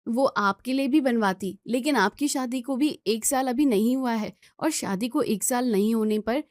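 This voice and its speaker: A woman's voice: fairly high pitch, moderate pace, dry recording, clean studio sound, natural voice. A woman voicing a polite, sensible younger sister-in-law in a family story, placating and reasoning.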